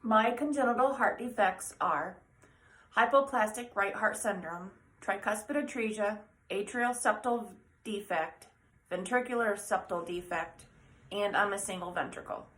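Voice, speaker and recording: A woman's voice: mid-range voice, a little slow, rough recording. A woman steadily stating facts about herself in a personal testimonial. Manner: steady, listing plainly. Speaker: adult with congenital heart disease.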